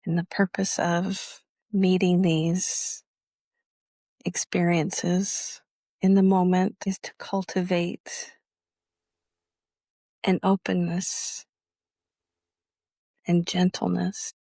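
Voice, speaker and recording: A woman teaching guided meditation in a measured, instructional way, leaving long pauses between phrases. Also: fairly low pitch, little pitch movement, mellow, dry recording.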